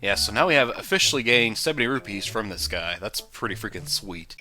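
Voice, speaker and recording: A man giving casual, jokey, rambling commentary on a video game he is playing, with sudden loud whoops. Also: lowish voice, lively intonation, a little fast. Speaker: let's play commentator. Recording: game music behind voice.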